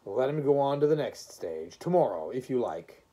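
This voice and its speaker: A stern, unseen judge from a storybook, acted out by a reader. The voice is husky and soft-edged, swinging in pitch while staying formal, exacting and dismissive. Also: sing-song, normal speed. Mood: cool, conceding.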